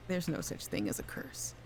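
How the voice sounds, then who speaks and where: An actress playing a skeptical, curious scientist in a video game, with a questioning, incredulous manner. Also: female voice, lowish voice, sing-song, slow, a little mellow, slight background noise, exaggerated voice. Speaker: game character voice actor. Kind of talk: acted recorded dialogue in a video game.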